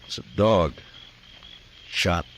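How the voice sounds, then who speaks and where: A level-headed American frontier lawman in an old-time radio western. His voice is extremely low, and he gives terse, calm orders. A light layer of noise sits on the vintage recording. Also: takes it slowly. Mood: wary, authoritative.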